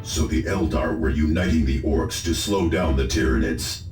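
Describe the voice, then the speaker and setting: Echoing, very deep solemn officer. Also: male voice, normal speed, darkish tone. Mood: grave, concerned. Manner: formal, grave, reporting. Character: solemn armored space marine advisor. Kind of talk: dramatic video game acting. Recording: echoing voice with music behind.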